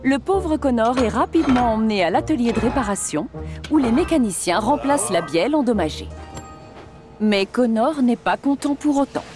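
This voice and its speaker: Lively woman narrating a children's cartoon. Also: on the high side, normal speed, over music. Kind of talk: scripted children's story narration.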